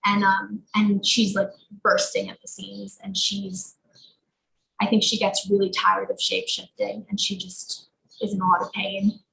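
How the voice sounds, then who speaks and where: Young woman actor, Portuguese native, casual rambling interview talk with a gravelly edge. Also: medium pitch, monotone, unhurried, pushed and bright. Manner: chatty, lots of fillers like "um" and "like". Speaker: actor guest. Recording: roomy echo.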